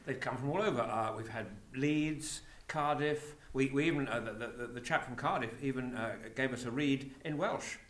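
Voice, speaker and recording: A man's voice: medium pitch, moderate pace, few pauses. Middle-aged man, echoey room. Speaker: executive producer. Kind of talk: casual interview chat. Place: university room.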